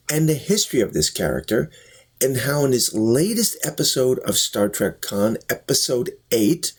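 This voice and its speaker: A man speaks in a husky voice with lively swings of pitch. He sounds like an earnest reviewer, laying out his commentary deliberately and explaining each point as he goes. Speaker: YouTube reviewer. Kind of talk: talk-to-camera review and commentary.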